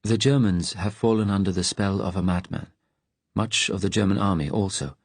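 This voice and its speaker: Calm woman, measured male narrator's rendering. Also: low voice, slightly rough, no room sound. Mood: serious, measured. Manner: slow, measured, serious, cool directness. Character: calm, direct Slovak woman of the resistance. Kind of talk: audiobook character dialogue.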